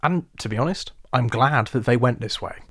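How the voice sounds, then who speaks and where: Male, RP English, wry sarcastic video essayist, medium pitch. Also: moderate pace. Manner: wry, sarcastic, animated delivery. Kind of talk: scripted video essay narration.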